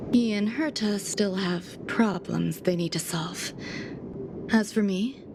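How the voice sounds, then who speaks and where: A woman voicing a soft-spoken, detached genius scientist in a game cutscene, calm and measured, at times dropping to a whisper. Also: fairly high pitch, noisy background, natural voice. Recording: game audio with music behind. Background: music.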